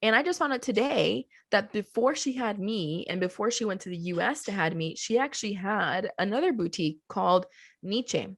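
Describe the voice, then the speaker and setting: A woman who grew up in Colombia telling her family story as a podcast guest, animated, laughing easily and slowing down for emphasis. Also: medium pitch, no echo, clean studio sound. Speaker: podcast guest, business co-founder. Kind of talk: casual storytelling interview. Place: at home with a child nearby.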